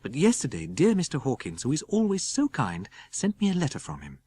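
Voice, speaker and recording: Anxious young woman diarist read by a man, careful and gentle, animated pitch, audiobook drama. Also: a little fast, slightly rough, full character voice. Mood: quiet unease. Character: anxious young Englishwoman confiding in her journal.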